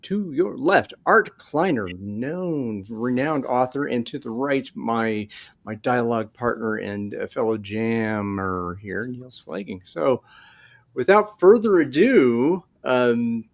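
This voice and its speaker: A man's voice: medium pitch, takes it slowly, creaky. A man hosting a live online show in a casual, conversational style of introduction.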